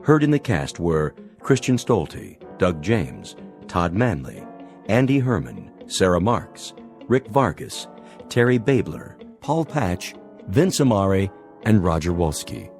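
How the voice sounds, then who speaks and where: Male announcer reading out radio show credits, lowish voice, some music under it. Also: sing-song, slow pace, darkish tone. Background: instrumental music.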